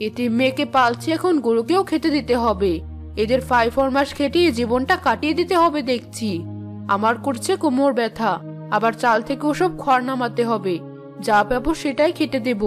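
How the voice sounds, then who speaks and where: A woman's voice: high voice, moderate pace, soft background music, lightly acted. A woman voicing a bad-tempered, stingy middle-aged village aunt in a cartoon, scolding and sarcastic. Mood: sarcastic grumbling. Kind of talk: children's cartoon voice acting.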